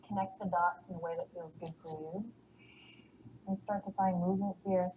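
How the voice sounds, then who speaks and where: Calm woman guiding yoga, soft whispers. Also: mid-range voice, highs cut off, slight background noise. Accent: slight Texan. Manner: calm, soothing cues, breathy whispered exhales. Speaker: yoga instructor. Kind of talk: guided yoga class.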